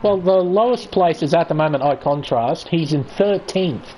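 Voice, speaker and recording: A man's voice: on the high side, moderate pace, dark tone, a little hiss or hum, low-quality recording. An Australian man giving chatty, enthusiastic live play-by-play commentary on an online racing league qualifying session. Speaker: esports race commentator.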